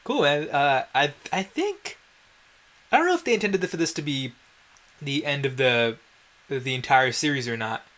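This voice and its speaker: A man's voice: fairly high pitch, expressive, unhurried, pauses often, a bit bright. A man giving casual gameplay commentary in a wry, self-deprecating way, with some mild swearing. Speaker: let's play host.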